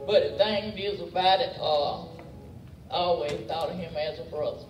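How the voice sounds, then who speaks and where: A man's voice: fairly high pitch, moderate pace. An older man giving an informal, rambling family remembrance at a funeral. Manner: rambling, plain-spoken, repeats points. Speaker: mourner giving a family tribute. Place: large church sanctuary, indoors. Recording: echoey church from far off, music behind.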